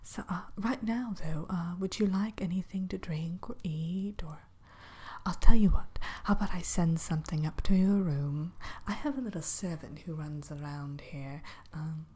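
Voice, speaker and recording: A woman's voice: fairly low pitch, moderate pace, mellow. A woman playing a kindly, spooky witch innkeeper in a whispered ASMR roleplay, musing hesitantly with coy mischief as she tends to a guest. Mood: musing, hospitable. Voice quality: breathy, whispery.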